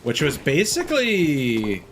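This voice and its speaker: A man's voice: fairly high pitch, huge pitch swings, takes it slowly, fairly bright tone, a little hiss or hum. A man doing casual live game commentary, rambling candidly and swearing offhandedly. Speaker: game streamer. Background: game music.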